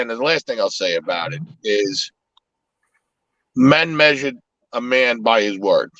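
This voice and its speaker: A man's voice: fairly high pitch, a bit bright, dry recording, muffled recording. A man whose first language is Italian, talking in a casual, reflective way on a live podcast, with many restarts. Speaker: podcast host.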